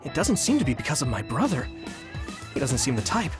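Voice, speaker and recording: A man's voice: medium pitch, sing-song, a little fast, natural voice. A man plays a young anime-game soldier, earnest and modest. He sounds polite and hesitant, his voice rising and falling freely over background music. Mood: earnest, pleased. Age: young adult. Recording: music behind.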